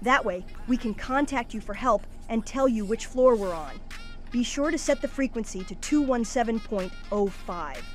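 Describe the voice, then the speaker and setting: A woman's voice: on the high side, animated, moderate pace, faint music behind, natural voice. A woman playing a confident, practical young kid who leads a team of heroes in a cartoon comic dub.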